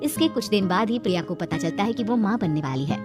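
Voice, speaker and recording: Woman narrator, spooky cartoon story, lively pitch, loud music behind. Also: fast. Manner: dramatic, suspenseful telling.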